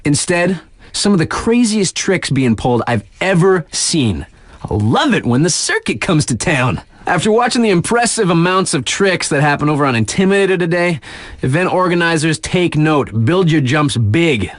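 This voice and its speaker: An actor playing a hyped but laid-back radio DJ in a snowboarding video game, chatting enthusiastically in casual slang about events. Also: male voice, on the high side, expressive, normal speed, no room sound, studio quality, natural voice. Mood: amused, hyped.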